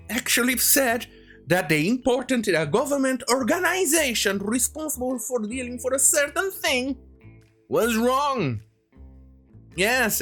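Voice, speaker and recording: This is an opinionated online commentator in mid-rant, sarcastic and prone to swearing. The pitch swings up and down a great deal while the delivery halts and restarts. Guitar music plays along behind the voice. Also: fairly bright tone. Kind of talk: opinionated rant commentary, reading quotes aloud.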